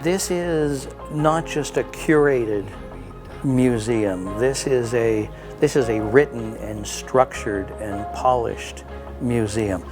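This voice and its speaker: A man's voice: medium pitch, slow, over music. A man who teaches, offering explanatory commentary in a documentary interview.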